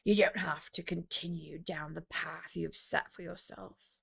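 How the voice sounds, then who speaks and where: Audiobook role: dangerous, cunning male fighter played by a woman narrator, slow, menacing, creaky low-key delivery. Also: steady tone, full character voice. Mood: smooth persuasion. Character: predatory, cunning male guild champion, slyly courting.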